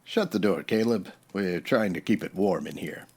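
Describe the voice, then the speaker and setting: An American actor playing a steady, dryly defiant Old West marshal in a radio western, with a folksy, laconic delivery. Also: male voice, low voice, moderate pace, background noise, lightly acted. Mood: calm, determined.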